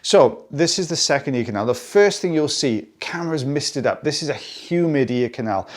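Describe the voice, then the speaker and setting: A man's voice: on the high side, animated, moderate pace. A man with a Welsh accent, an audiologist narrating an ear procedure in a friendly, explanatory way and turning aside to talk to viewers. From South Wales. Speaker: audiologist presenting ear wax removal.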